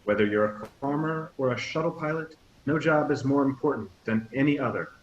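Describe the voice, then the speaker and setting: A warm but commanding captain in his fifties, read by a man addressing a crowd in measured tones. The audio is roomy, dull and lightly hissy. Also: mid-range voice, natural voice. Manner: speechmaking, measured. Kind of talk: table read. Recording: echoey, muffled, hissy audio.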